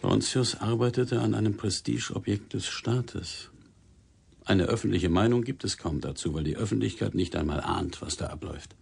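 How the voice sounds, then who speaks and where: An actor playing a sober, shrewd newspaper boss in a German radio drama, speaking deliberately and matter-of-factly. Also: male voice, on the low side, gravelly, a little mellow, slightly rough, exaggerated voice. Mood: sober, cautioning.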